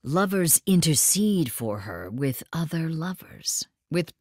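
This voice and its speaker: An actress playing a worldly, wry and jealous lover in an audio drama, blunt and sardonic in manner. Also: female voice, low-pitched, big pitch swings, takes it slowly, darkish tone, dry recording, studio quality, heavily acted. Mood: wry, resigned.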